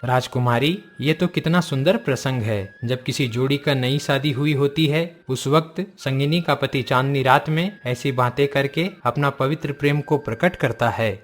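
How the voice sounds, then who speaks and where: A man's voice: mid-range voice, normal speed, few pauses, faint music behind, lightly acted. Courteous royal suitor voiced by a man. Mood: assured. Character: polite, confident unmarried king seeking a princess's hand.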